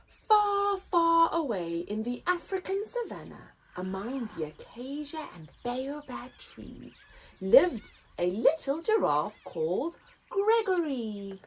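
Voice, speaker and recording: A woman reading a children's story aloud as a story time host, with expressive, dramatic emphasis. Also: high voice, takes it slowly, slightly rough.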